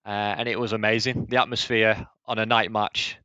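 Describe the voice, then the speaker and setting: A man's voice: lowish voice, level tone, moderate pace, sharp tone, no room sound, lo-fi sound. A man in his thirties from England, co-hosting a podcast chat, hesitant and often repeating words as he speaks. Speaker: podcast co-host. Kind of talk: casual chat.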